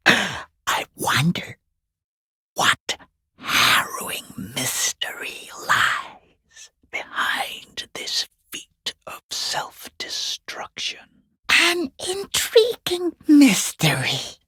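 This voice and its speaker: Hissing, sinister, mocking watcher. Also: male voice, high voice, pushed and bright, dry recording, studio quality, full character voice. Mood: mock intrigue. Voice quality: hoarse, breathy whispering rasp. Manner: taunting, sarcastic, drawn-out theatrical phrasing. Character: mocking, sinister unseen male watcher sneering from the shadows. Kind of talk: video game character voice lines.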